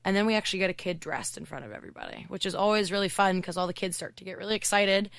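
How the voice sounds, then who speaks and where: A woman hockey coach from Canada, a podcast guest, talking in a loud, chatty way with lots of "like" fillers. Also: mid-range voice, dry recording. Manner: chatty, fast storytelling with many "like" fillers. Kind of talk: casual podcast interview.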